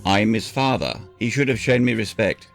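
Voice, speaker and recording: A man acting as a proud, moneyed party host in an audio drama. The voice is low and creaky, with a defensive streak and faint music behind it. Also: normal speed, reverberant, distant mic, natural voice.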